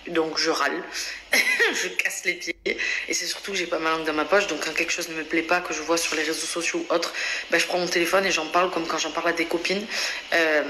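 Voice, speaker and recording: A bright, forceful woman's voice, lowish for her sex, talking fast and frank into a phone held at arm's length. She is pregnant and on bed rest, and her chat is full of fillers and restarts. The room is roomy and echoey, with a faint hiss behind her. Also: flat delivery. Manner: outspoken, chatty, frequent fillers and restarts. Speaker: vlogger. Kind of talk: casual vlog chat. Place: home interior, bedroom and bathroom.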